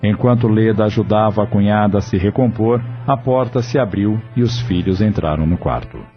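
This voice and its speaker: Male narrator, solemn and measured, low voice, dull lo-fi sound, faint music. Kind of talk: scripted audio drama narration.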